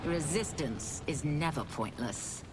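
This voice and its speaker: A woman's voice: deep voice, animated, slow pace, heavy background noise. A woman voicing a stern, resolute swordswoman with a terse, determined manner in a video game. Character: stern, resolute female demon-hunting swordswoman. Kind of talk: video game cutscene acting. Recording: loud music behind the voice.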